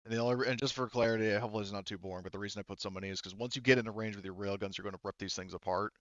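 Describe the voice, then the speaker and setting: A man's voice: fairly low pitch, fairly even intonation, very fast, no echo, lo-fi sound. A man running a tabletop game as game master, talking casually and hesitantly as he thinks through and explains the rules.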